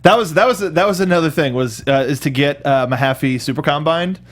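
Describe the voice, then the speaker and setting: A man with a moderately high voice co-hosts a relaxed-format review discussion. He talks informally and gives his opinions freely, in the manner of a casual chat between fans. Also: a little fast. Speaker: review show co-host. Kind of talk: casual chat reviewing video game films.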